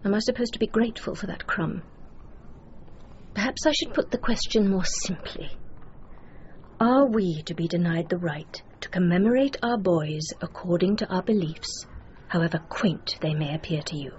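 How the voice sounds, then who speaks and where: A woman's voice: lowish voice, moderate pace, a little mellow, natural voice. An actress playing a proud, grieving aristocratic mother with an upper-class English accent, speaking formally and imperiously in a radio drama. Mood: indignant. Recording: dull, noisy radio drama recording.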